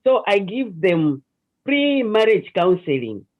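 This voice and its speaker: A woman with a Zambian accent and a deep voice, earnestly explaining her counseling work as a guest in an online interview, with hesitant restarts. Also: big pitch swings, slow. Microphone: video call. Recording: lo-fi video call audio.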